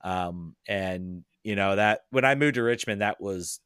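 A man's voice: on the low side, measured pace, fairly bright tone, clear, resonant tone, no echo, clean studio sound. A man talking casually as a podcast guest, hesitant, with frequent ums and restarts. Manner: hesitant, lots of ums and repeated words.

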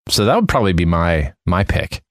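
Lively low-voiced man, close dry sound. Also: brisk, no echo. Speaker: podcast panelist. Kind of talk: casual podcast chat.

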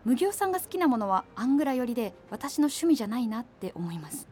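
Frank, higher-voiced young woman. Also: very animated, fast talker, darkish tone. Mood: polite, settled. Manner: polite, hedging but blunt. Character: frank, cool-headed, politely rebuffing young woman. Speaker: actress in a radio drama. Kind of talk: naturalistic radio drama dialogue.

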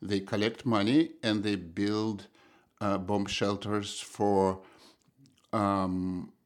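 A middle-aged man from Russia with a Russian accent, answering interview questions as an economist, thoughtful and halting with frequent restarts. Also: on the low side, flat delivery. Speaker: economist guest.

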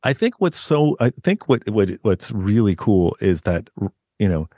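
A man with a deep voice, a guest author talking in a loose, rambling way with many restarts. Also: very animated, a little slow, warm tone, no room sound, clean studio sound. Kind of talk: casual interview chat.

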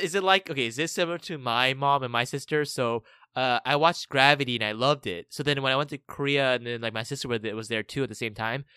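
This is a podcast co-host chatting casually and firing off teasing questions. The pitch swings widely, and the recording is close and dry with no room sound. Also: sharp tone, smooth, no room sound. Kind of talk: casual chat.

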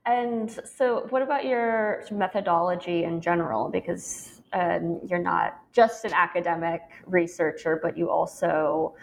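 Gravelly low-voiced woman interviewer. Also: a little hiss or hum. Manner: measured, reflective questioning with fillers. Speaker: podcast interviewer. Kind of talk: academic interview.

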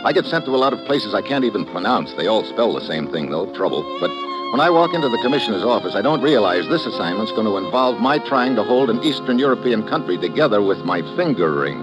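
A man's voice: on the low side, sing-song, fast. A man playing a wisecracking, hard-boiled government agent who narrates his own case in an old radio drama. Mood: wry. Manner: wry, sardonic, hard-boiled. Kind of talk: 1950s radio drama acting. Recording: dull old radio recording with music.

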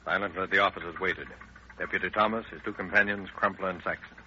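A man's voice: low-pitched, steady tone, fast talker, very noisy. A man narrating an old radio crime drama in a dramatic, scripted style. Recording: muffled old radio recording.

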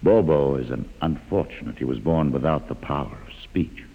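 Gravelly, wry mutant leader. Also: male voice, extremely low pitch, narrow, tinny audio, exaggerated voice. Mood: calm, wry. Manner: measured, ironic, quietly commanding. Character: calm, wry, educated male mutant leader. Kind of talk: 1950s radio drama acting. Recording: old radio drama with long reverb.

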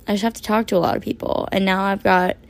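A young woman in her twenties hosting a casual solo podcast, her voice hoarse and raspy from a long shift, rambling chattily with lots of "like". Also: medium pitch, fairly even intonation, fast. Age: 20s. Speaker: podcast host.

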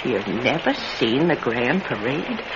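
A man's voice: medium pitch, sing-song, slow. An actor playing an elderly retired circus performer in a radio drama, a talkative, wary old man with a folksy, wistful way of rambling into stories. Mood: animated, nostalgic. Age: older. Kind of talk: classic radio drama acting. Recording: dull, noisy vintage radio recording.

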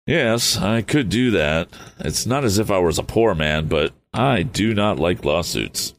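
Man reading aloud as a deliberate father-inventor, deep and gravelly. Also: lively intonation. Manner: measured, deliberate. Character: steady older male inventor, cautious father.

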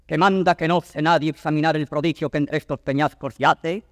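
A stern noble jailer, acted by a man gives orders in a long hall reverb. The voice sits fairly high, and the verse is formal and authoritative, in classical theatre style. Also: fairly even intonation, brisk, clean studio sound, close to an everyday voice. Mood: stern authority. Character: stern commanding prison warden, older nobleman. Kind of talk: classical Spanish verse stage acting.

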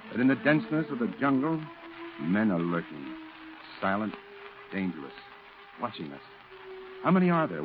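An actor playing a solemn war correspondent, narrating dramatically in a 1940s radio drama. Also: male voice, mid-range voice, narrow, tinny audio, close to an everyday voice. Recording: thin old radio recording. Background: music.

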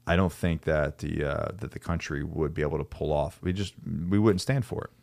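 A man's voice: extremely low pitch, a little fast, creaky, darkish tone, no echo. A man hosting a casual podcast conversation, talking earnestly with many restarts. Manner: earnest, restarts often, talks about men leading by example. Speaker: podcast host.

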